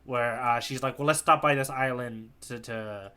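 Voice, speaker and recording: A man's voice: mid-range voice, normal speed, pushed and bright, clear, resonant tone. A man co-hosting a podcast in informal, casual chat, circling around his point with repeated words. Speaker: podcast co-host.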